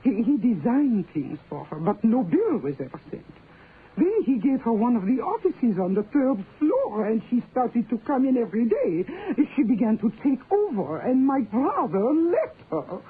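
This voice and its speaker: Anxious french lady, hesitant, earnest, swoopy pitch, tinny noisy old radio drama. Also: very animated, normal speed. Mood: troubled. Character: anxious, deferential French woman, devoted sister. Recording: tinny band-limited old radio, some noise.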